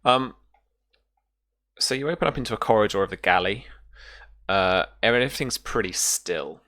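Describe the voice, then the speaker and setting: A man narrating a tabletop role-playing game as game master in an improvised, halting way, pausing to find his words. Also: lowish voice, sharp tone.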